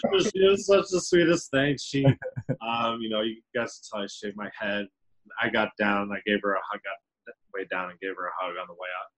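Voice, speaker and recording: A man with a deep, booming voice, a podcast guest telling a rambling, casual story with many fillers. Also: brisk, a bit bright, rough recording. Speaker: podcast guest, restaurant server.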